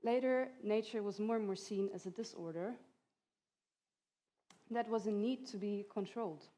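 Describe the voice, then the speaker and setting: A woman in her thirties, a native Hungarian speaker, presents an academic talk at a conference. Her voice is mellow and dark-toned, at a middle pitch, and she laughs lightly between points. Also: normal speed. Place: conference hall.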